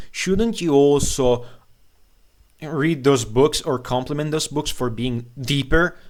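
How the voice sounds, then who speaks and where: A man giving an unscripted, opinionated rant as a video commentator, blunt, scornful and profane, with a halting, stammering delivery. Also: mid-range voice.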